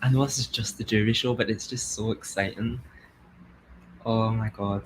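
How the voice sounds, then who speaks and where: A young man with a Glasgow-area Scottish accent, chatting excitedly and laughing as he comments on a live stream. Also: lowish voice, moderate pace, background noise. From Scotland.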